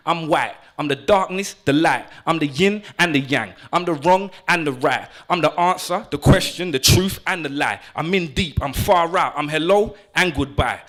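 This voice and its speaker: Man, high voice, rhythmic spoken word poet. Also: fairly bright tone. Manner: rhythmic, punchy list delivery. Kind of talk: spoken word poetry performance.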